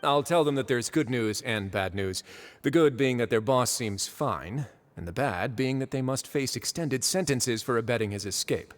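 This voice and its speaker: A man playing a composed, wry fortress chief, speaking in a measured, courteous and authoritative way in an anime-style video game. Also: medium pitch, close to an everyday voice.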